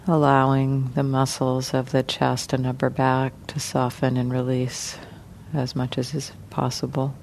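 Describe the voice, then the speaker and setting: A woman teaching guided meditation in a gentle, unhurried manner, leaving long pauses between short phrases. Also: a bass voice, completely flat delivery, creaky, slight background noise.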